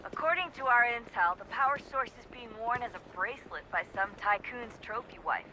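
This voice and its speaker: A brisk, practical mission handler voiced by a woman in a naturalistic game scene. She is to the point, with clear urban noise behind her. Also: fairly high pitch, lively intonation, natural voice. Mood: businesslike. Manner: businesslike, casual, to the point. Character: brisk, practical female field operative guiding a mission. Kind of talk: naturalistic video game acting. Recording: noisy urban ambience behind.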